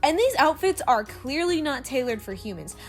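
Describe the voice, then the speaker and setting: A woman's voice: high voice, very animated, normal speed, faint music behind. A woman playing a brash, foul-mouthed young human space recruit with cocky, sarcastic delivery in a comedic audio drama. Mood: brazen.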